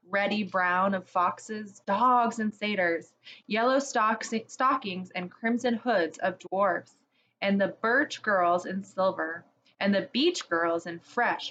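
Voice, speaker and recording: Slow, gentle woman reading a storybook. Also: mid-range voice, highs cut off, slight background noise. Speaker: children's storyteller. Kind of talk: reading a chapter book aloud to children.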